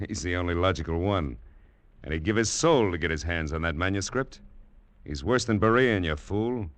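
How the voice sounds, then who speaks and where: A man playing a greedy, gruff, scheming treasure-hunting rogue in a 1940s radio drama, pushy and insistent. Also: deep voice, lively intonation, moderate pace, no room sound. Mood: urgent, sardonic.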